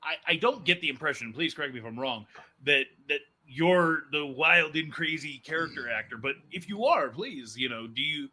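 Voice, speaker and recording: A man's voice: on the high side, expressive, moderate pace, bright, forceful tone, slightly rough. A man hosting a casual interview, feeling his way through questions with repeated words. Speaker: podcast host, character actor.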